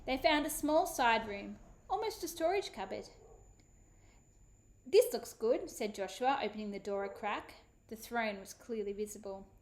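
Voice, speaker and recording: A woman, a children's author, giving an expressive, animated dramatic reading of her book. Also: medium pitch, normal speed. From Australia.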